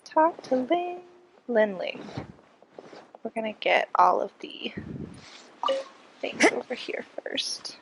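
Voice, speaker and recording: A young woman with a congested, breathy voice, giving casual, excitable live commentary as she streams a game. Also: fairly high pitch, slow, pauses often, noisy background. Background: game music.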